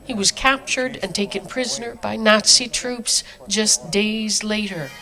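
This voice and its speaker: A woman news reporter giving scripted narration in a steady, solemn way. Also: mid-range voice, a little slow, crowd noise.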